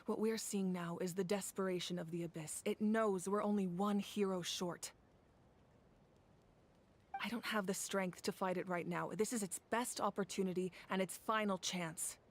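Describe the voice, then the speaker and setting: An actress playing a weary, resolute woman war leader in a video game, measured and commanding as she gives formal orders. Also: mid-range voice, fairly even intonation, close to an everyday voice.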